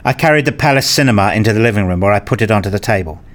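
A man's voice: lowish voice, animated, rapid-fire, lightly acted. A man acting in a radio play as a weary, wryly self-mocking academic father who narrates his own story. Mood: eager, secretive. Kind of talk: first-person narration and acted dialogue.

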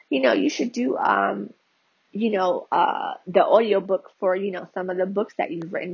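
A woman's voice: on the low side, gravelly, husky, dry recording, lo-fi sound. A woman podcast host giving a conversational devotional teaching, talking straight to her listeners with frequent "you know" and "right?" asides.

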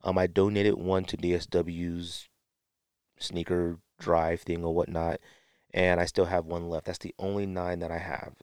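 A man's voice: low voice, level tone, a little slow, a little mellow, clear, resonant tone, no room sound, studio quality. A man hosting a podcast solo in a casual, rambling, slangy way, sniffling a little with a stuffy nose. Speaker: podcast host.

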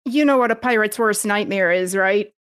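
A woman's voice: medium pitch, moderate pace, a bit bright, smooth. A woman chatting casually, teasing the others and cracking jokes. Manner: teasing, joke-telling, giggly. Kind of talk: casual chat.

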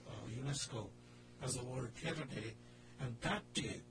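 Male radio host, Indian accent, mid-range voice, loose and hesitant delivery, noisy studio recording. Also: medium pitch. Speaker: radio drama club host. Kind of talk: loose, informal radio talk show. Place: radio studio with a group in the room.

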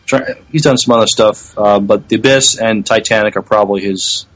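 A man co-hosting a podcast, talking casually in a hesitant, halting way with repeated words. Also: lowish voice, fairly even intonation, a bit bright, poor audio. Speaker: podcast co-host. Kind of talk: casual sci-fi news chat.

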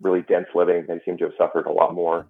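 A man's voice: deep voice, monotone, fast talker, creaky. A man joining a podcast as a guest, talking in a hesitant, unsure way over a remote call. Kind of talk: casual chat. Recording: tinny phone-like remote call.

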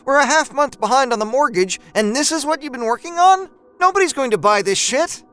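A man's voice: extremely high pitch, expressive, slow, faint music behind, voice pushed up, exaggerated voice. A man voicing an exasperated adult wife who scolds her husband bluntly. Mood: scolding exasperated. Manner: sharp, scolding.

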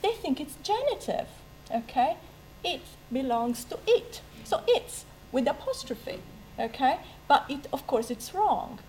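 Italian-accented woman, lively panel talk. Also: high-pitched, a little slow, a little hiss or hum, low-quality recording. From Italy. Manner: lively, self-mocking, laughs often, many restarts. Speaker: university language teacher on a debate panel. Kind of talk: spontaneous panel debate answer.

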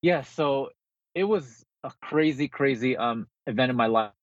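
A man's voice: mid-range voice, normal speed, dry recording, dull audio. A man from New Jersey, born in the Philippines, speaking as a motivational guest in a conversational interview over a remote call. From born in the Philippines, grew up in New Jersey.

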